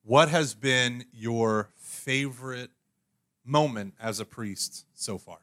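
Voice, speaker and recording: A man's voice: medium pitch, slow, fairly bright tone. A man co-hosting a casual conversation, making teasing wisecracks. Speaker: podcast co-host. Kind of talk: casual chat.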